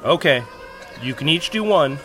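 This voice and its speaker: A man's voice: fairly high pitch, lively intonation, normal speed, fairly bright tone, low-quality recording. A man playing a dry, pedantic know-it-all in a podcast audio drama, matter-of-fact and correcting. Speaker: podcast cast member.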